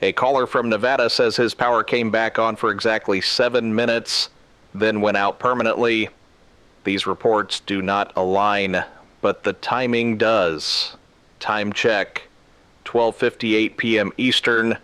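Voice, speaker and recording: A man playing a grave emergency radio broadcaster in a scripted drama, speaking solemnly and deliberately in short, clipped sentences. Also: on the low side, fairly even intonation, a bit bright, smooth, no room sound. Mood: grave, urgent. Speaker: radio news host.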